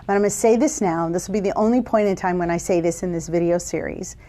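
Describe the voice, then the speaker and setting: A woman facilitator giving instructions to camera from an office, speaking in a warm, encouraging and deliberate way. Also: on the low side, steady tone. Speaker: workshop facilitator.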